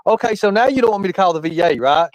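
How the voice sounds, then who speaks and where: A man's voice: high voice, expressive, racing, over a noisy crowd, poor audio. A man on a live stream, defending himself insistently and repeating himself. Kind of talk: argumentative live chat.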